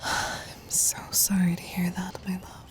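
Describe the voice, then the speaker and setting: A woman playing an adoring, gently dominant demon queen in a whispered ASMR roleplay, speaking softly and breathily with tender, regal phrasing. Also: fairly low pitch, monotone, measured pace, lightly acted. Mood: tender, concerned.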